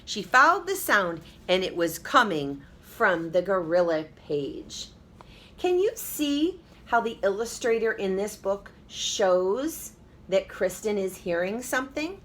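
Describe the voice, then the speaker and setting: A woman teacher reading a picture book aloud to children, expressive and encouraging, pausing to talk to them directly. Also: mid-range voice.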